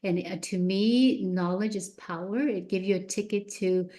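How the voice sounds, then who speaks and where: A woman school board candidate giving an earnest, halting opening statement with many fillers. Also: medium pitch, fairly even intonation, warm tone. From outside the US.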